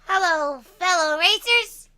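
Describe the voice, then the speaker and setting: Cartoon kid racer: feisty, defiant girl, extremely high pitch, very sharp bright tone. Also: lively intonation, slow, heavily acted. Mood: brash. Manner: sassy, defiant. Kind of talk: animated film table read.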